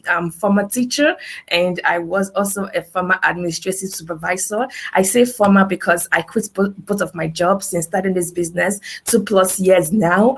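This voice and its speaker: Woman in her 30s, husky tone, earnest webinar testimonial, chatty asides. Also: medium pitch. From Nigeria. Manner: earnest, peppered with "you know", "right?" and "guess what". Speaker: business testimonial speaker. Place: her house in Nigeria.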